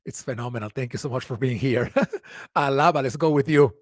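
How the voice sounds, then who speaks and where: A man from Venezuela hosts an online panel in a relaxed, chatty way and laughs easily. He is heard through a video call mic. The sound is dry, with no room around it. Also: medium pitch, brisk, no room sound. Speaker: panel moderator. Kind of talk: casual webinar hosting.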